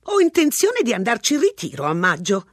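A reader voicing a scruffy, garrulous old woman who rambles on, in a lively, husky voice. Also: mid-range voice, no echo, studio quality, voice pushed up. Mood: chatty. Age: older. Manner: chatty, rambling, unhurried. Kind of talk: audiobook narration.

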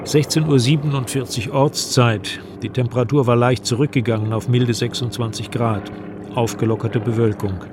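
An actor playing an investigative journalist, narrating a radio drama in a measured, literary manner. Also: male voice, medium pitch, noisy background, natural voice.

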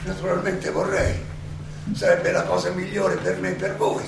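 In a very echoey, distant space an old philosopher on trial, his voice high and gravelly. He is defiant and sardonic, arguing in rhetorical questions with theatrical pauses, as in a stage play. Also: sing-song, background noise, heavily acted. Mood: grave, resolute. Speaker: stage actor. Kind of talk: theatrical courtroom monologue.

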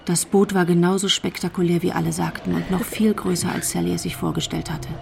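A woman's voice: fairly low pitch, little pitch movement, fairly quick, creaky, slight background noise. A woman giving scripted literary narration as the narrator of a radio drama. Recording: music bed under the voice. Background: music.

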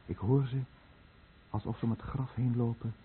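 A man's voice: medium pitch, fairly even intonation, normal speed, close to an everyday voice. An actor playing a dying, guilt-ridden fisherman who tells of his lost village in a brooding, bitter radio drama monologue. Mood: bitter remorse. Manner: brooding, bitter, confessional monologue addressed to the listener. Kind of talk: Dutch-language radio drama narration. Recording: muffled narrow-band old radio recording, faint noise.

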